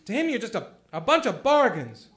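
A man's voice: sits high, sing-song, fairly quick, a bit bright. A man playing an earnest young loan-office manager pleading with a panicked crowd in a community radio play.

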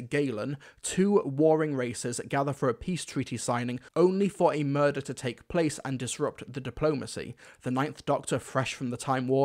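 Young British man reviewing, mid-pitched. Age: young adult. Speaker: video reviewer. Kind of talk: conversational scripted review commentary. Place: small furnished room at home. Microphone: desk microphone close up.

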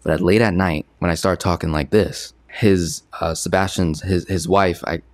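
A young man giving casual, energetic talking-head commentary on money into a studio boom mic, repeating himself and gesturing a lot. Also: moderate pace, no echo. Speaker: personal finance creator. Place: small bright studio. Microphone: boom arm studio mic.

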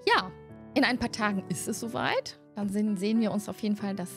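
A woman's voice: mid-range voice, a little fast, a bit bright. A middle-aged woman talks casually to her viewers as a channel host. She is close on a desk microphone at home, with an ordinary mid-range voice and music playing behind her. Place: indoors at home, video call.

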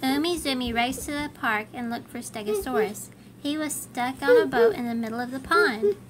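High-pitched woman reading a storybook. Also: normal speed. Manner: animated, encouraging. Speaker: parent reading a picture book aloud to a child. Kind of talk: read-aloud children's storybook narration. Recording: faint background noise.

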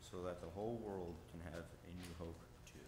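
Deep-voiced young man reading a poem. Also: steady tone, fairly quick. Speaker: youth reader. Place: church sanctuary at a lectern. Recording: echoey church room with noise.

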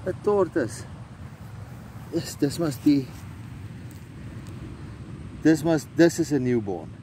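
A middle-aged South African man who grew up speaking Afrikaans, hosting a casual vlog on a coastal trail, talking slowly with many fillers and restarts into a handheld phone. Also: fairly high pitch, expressive, mellow. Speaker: vlogger host. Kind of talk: casual outdoor vlog narration. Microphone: phone camera held by hand. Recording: faint outdoor noise.

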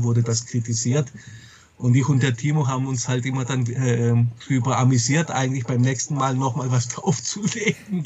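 A man's voice: mid-range voice, darkish tone, slightly rough, dull audio. A man being interviewed as a publisher, chatting casually in a rambling way and often repeating himself. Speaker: comics and audio drama publisher, interview guest.

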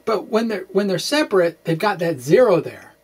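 A man in his forties presenting physics, part dramatic reading and part informal explanation, in an animated, quirky manner with hesitant asides. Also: high voice, moderate pace, breathy edge. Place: small indoor room with bookshelves.

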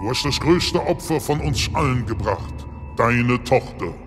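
An actor playing a menacing, gloating dragon demon who leads a cult, speaking solemnly and pompously in a radio play. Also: male voice, mid-range voice, expressive, measured pace, lightly acted. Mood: solemn, commanding. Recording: long cavernous reverb, music underneath. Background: dramatic music.

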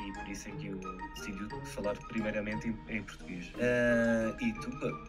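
A man's voice: on the low side, fairly even intonation, normal speed. A young man from Normandy, France, chatting thoughtfully on a video call and laughing easily. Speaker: guest polyglot. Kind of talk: casual video-call chat. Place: small indoor room. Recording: video call with loud music underneath.

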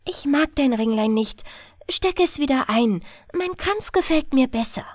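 A woman voicing a wary, quick-witted small child in a fairy tale, speaking firmly and plainly. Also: high voice, expressive, no room sound, clean studio sound. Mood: defiant.

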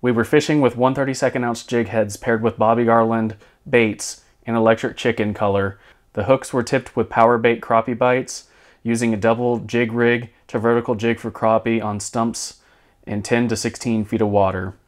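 A man's voice: medium pitch, flat delivery, moderate pace, dry recording, studio quality. A man, chatting casually as a fishing vlogger. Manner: easygoing, chatty, folksy. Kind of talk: casual chat to camera.

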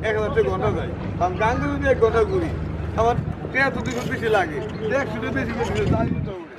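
A boatman haggles loudly, his voice pitched very high and racing along. He is heard from some distance outdoors, with a busy crowd chattering around him. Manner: rapid, loud bargaining. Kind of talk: haggling over a price.

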